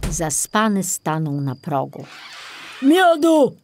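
A woman narrating a children's audiobook in an expressive, scripted storybook style. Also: fairly low pitch, slow pace, background noise.

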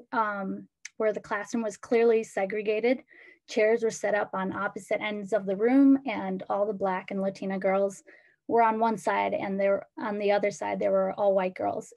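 A teenage woman from Ecuador, a student panelist, giving earnest personal testimony over a video call, with frequent pauses. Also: medium pitch, a little mellow.

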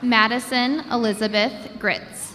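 A woman reading graduates' names one by one at a commencement ceremony, announcing each name with a measured pause through a podium microphone. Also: on the high side, steady tone, fairly bright tone. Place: large indoor gymnasium. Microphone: podium microphone over a PA system. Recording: long hall reverb with crowd noise. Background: crowd ambience.

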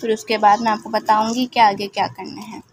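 Woman cooking host, even and homely delivery, phone-recorded in her kitchen with background hum. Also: medium pitch, brisk. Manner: homely, repeats "ठीक है" and "ये देखें", explains patiently. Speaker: home cooking channel host. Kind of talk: step-by-step recipe narration. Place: home kitchen.

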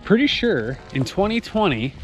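A man in his thirties walking a wooded trail and talking to a handheld camera, chatty and excited about what he finds. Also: high voice, normal speed, soft background music, poor audio. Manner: enthusiastic, chatty, exclaims often. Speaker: vlogger. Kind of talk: casual walking vlog narration. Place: outdoors on a wooded mountain trail. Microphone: camera held at arm's length.

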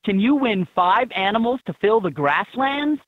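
A woman with a husky voice reading scripted prompts as an encouraging guide in a children's game, on dull toy audio. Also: mid-range voice, expressive, moderate pace, no room sound, lightly acted.

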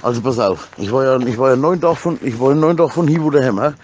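A man's voice: mid-range voice, fast, a little mellow, dull audio, a little hiss or hum. A man chatting casually in an agitated, rambling way, stammering over repeated words, with slangy, crude swearing. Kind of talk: casual chat, agitated rant.

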